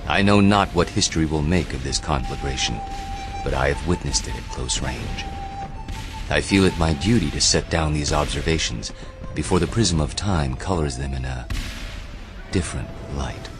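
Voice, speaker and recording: An actor in a radio play playing a captivating history professor who lectures with measured, theatrical intensity. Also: male voice, very deep voice, music in the background. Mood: grave, solemn.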